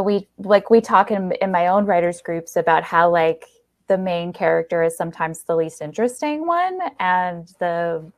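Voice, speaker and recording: A woman's voice: fairly low pitch, dry recording, clean studio sound. A woman hosting a podcast, chatting casually in an enthusiastic, affirming way as she interviews a guest. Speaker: podcast host.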